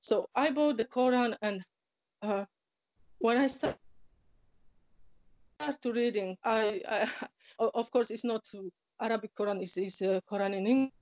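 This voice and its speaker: Guest: woman, Polish accent, Polish native speaker, hesitant storyteller who laughs softly, lo-fi dry call audio. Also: on the high side, measured pace, long pauses, dry recording. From Poland. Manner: hesitant, many fillers, nonnative grammar, frequent soft laughs. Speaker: interview guest. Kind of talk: personal story in casual conversation. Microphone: online call. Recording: dull, lo-fi internet call audio.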